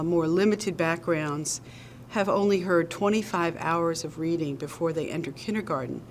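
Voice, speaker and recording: A woman with a low, deep-set voice answers interview questions as a nonprofit director. She speaks in a measured, earnest manner, pausing now and then on an um. A faint hiss sits under the recording.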